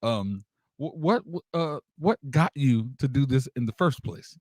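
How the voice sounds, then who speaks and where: A man's voice: mid-range voice, animated, measured pace, darkish tone, dry recording, clean studio sound. A man hosting a radio interview in a casual, slangy, exclamatory hype style. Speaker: radio show host.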